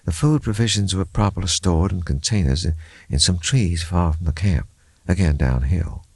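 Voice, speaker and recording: Troubled female officer read by a man, gentle, halting, slightly lifted pitch, close dry audiobook sound. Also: vocal fry, breathy edge, no echo, full character voice. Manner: careful, hesitant, groping for words. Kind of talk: audiobook character acting.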